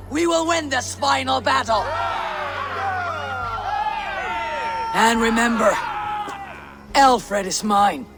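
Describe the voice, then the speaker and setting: An actor playing a fierce, vengeful Viking warrior leader in a video game cutscene, commanding and grave, with a gravelly voice. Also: male voice, very high voice, heavily acted. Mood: rousing, fierce.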